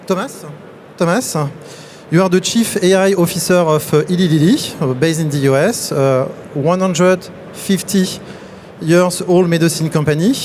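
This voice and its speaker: A man moderating a conference panel on stage, halting with many fillers and restarts. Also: on the high side, darkish tone, slight background noise. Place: large exhibition hall on stage.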